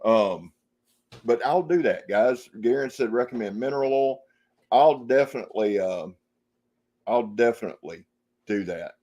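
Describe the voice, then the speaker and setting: A man's voice: mid-range voice, animated, a little slow, pauses often, warm tone. A man casually chatting on a live stream, reading out viewer comments and greeting people by name in a rambling, folksy way. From Jacksonville, Florida area. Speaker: outdoor channel host on a live stream.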